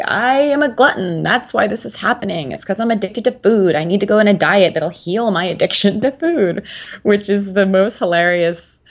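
Low-voiced woman, chatty and candid. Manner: chatty, rambling, lots of fillers and restarts. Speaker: guest. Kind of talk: candid personal interview.

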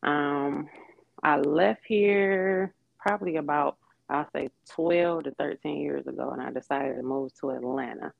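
A young woman from with a gravelly, creaky edge to her voice. She talks as a podcast guest, easygoing and reflective, and laughs often. The sound is dull and dry, with the lo-fi feel of a plain podcast recording. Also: deep voice, unhurried, no room sound. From Detroit, Michigan. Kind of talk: casual interview chat.

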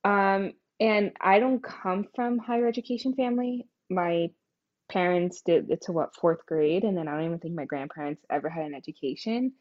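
A young woman co-host talks through her family background in a rambling, self-correcting way, full of "you know" and "like". Her voice sits somewhat low, and the lo-fi recording sounds a little dull. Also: moderate pace. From New Jersey, US. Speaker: podcast co-host. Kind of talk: casual podcast chat. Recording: dull lo-fi podcast audio.

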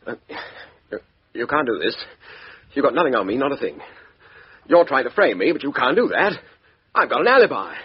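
Blustering major, indignant, raspy voice, wildly swinging pitch, 1950s radio play. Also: male voice, very animated, normal speed, pauses often. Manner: blustering, indignant, rising to panic. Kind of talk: 1950s radio drama acting. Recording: dull lo-fi old radio recording.

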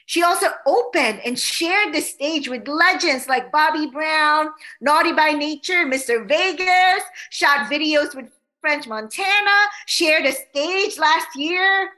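Bubbly high-voiced woman host. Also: slow pace, pushed and bright. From Philippines. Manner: bubbly, chatty, many fillers. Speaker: podcast host. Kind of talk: casual interview.

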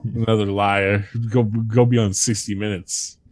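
Low-voiced man reading with jokes. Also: little pitch movement, unhurried. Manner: reads stories aloud, breaks off laughing and riffing. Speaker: podcast host reading a countdown list. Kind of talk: comedic reading with banter.

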